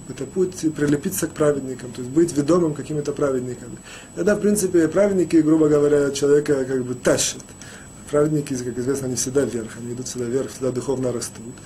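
A man gives a spoken Torah lesson, his voice sitting a little above the usual pitch and sounding mellow and dark. He talks haltingly, restarting words and filling pauses, with a faint hiss of noise behind him. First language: Russian, rarely used in daily life. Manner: halting, many restarts and "э" fillers. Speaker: rabbi teaching a Torah lesson.

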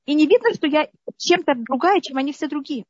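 High-voiced woman telling her story. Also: fairly quick, no echo. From Soviet Union. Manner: lively, keeps asking the listener "you understand?". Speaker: interview guest. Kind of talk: conversational storytelling. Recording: dull lo-fi dry sound.

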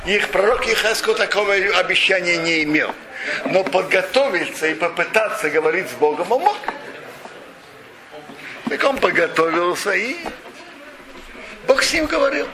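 High-voiced man teaching a lesson. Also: normal speed, long pauses. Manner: animated storyteller asking rhetorical questions; frequent restarts and self-corrections. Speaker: religious teacher. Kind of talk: Torah lesson retelling a midrash. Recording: steady background noise.

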